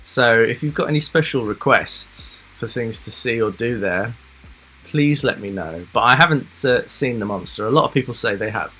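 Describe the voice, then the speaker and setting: A man hosting a casual live stream, chatting loosely to his viewers with lots of fillers, rambling asides and soft laughs. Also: medium pitch, animated, normal speed, breathy edge. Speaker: reaction livestream host.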